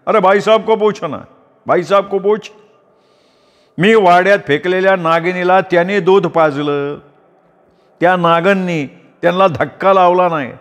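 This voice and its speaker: Menacing male snake-catcher played by a man, high, mocking, slow, with long hall reverb. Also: fairly even intonation, natural voice. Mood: taunting. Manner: taunting, slow and drawn-out.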